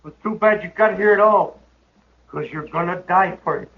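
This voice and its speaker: A man's voice: high voice, moderate pace. Jumpy young gunman on old radio. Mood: threatening. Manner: tense, blustering threats that crack into pleading. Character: young frontier man, jealous, cowardly, threatening. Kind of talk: 1950s radio drama acting. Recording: muffled old radio transcription.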